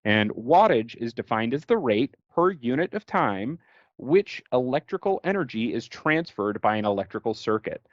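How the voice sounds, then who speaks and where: Male technical trainer, American, mid-pitched, conversational slide-course delivery on a dry, close, slightly lo-fi recording. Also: sing-song, normal speed, dry recording. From United States. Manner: conversational, colloquial, explains with everyday examples. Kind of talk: informal explanatory slide lecture.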